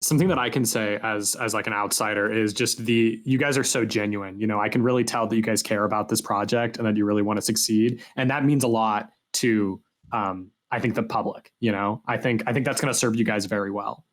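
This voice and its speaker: A male podcast host with a fairly low-pitched voice. He speaks in a casual, conversational way, as an interviewer chatting with guests. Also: fairly even intonation, a little fast, few pauses.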